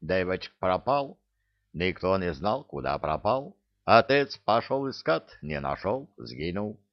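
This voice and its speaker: A man's voice: low-pitched, big pitch swings, measured pace, exaggerated voice. A man voicing a whining, rambling huckster spirit from a comic fantasy audiobook, with a Georgian accent and broken grammar. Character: hook-nosed Caucasian-style huckster spirit in Native costume, whining. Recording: dull lo-fi audio.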